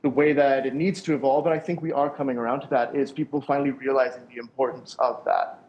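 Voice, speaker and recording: A man's voice: medium pitch, fairly even intonation, fast talker, a little mellow, muffled recording. A young man, a sound engineer, answering interview questions over a call in a thoughtful, rambling way with many restarts.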